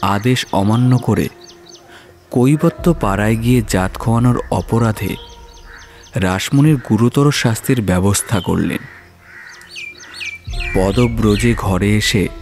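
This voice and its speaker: A man narrates a horror tale in a dramatic, scripted style. His voice is fairly low and very unhurried, with soft music playing behind it.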